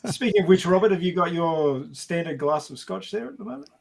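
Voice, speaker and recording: A man's voice: high-pitched, expressive, brisk, creaky. A man with an Australian accent, chatting casually as a podcast co-host. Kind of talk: casual chat.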